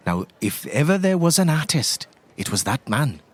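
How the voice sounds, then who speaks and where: A man's voice: on the high side, very animated, moderate pace. A man playing a chatty, tipsy priest who loves tall tales, with an Irish accent and a rambling, lilting delivery, in an improvised tabletop game. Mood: animated, musing. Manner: rambling, lilting storyteller with repeated tag phrases.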